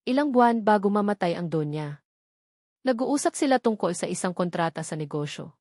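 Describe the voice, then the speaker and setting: A woman's voice: medium pitch, lively intonation, a little fast, a little mellow, dry recording. Woman narrating a drama, close dry sound. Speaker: story narrator. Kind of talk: dramatic scripted audio story narration.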